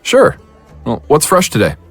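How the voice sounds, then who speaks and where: A wary man, played by an actor with a husky, fairly low voice and lively intonation. He sounds uneasy in this horror audio drama, with music behind him. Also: unhurried, full character voice. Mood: wary, polite. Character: wary, uneasy man trapped in an infernal dream.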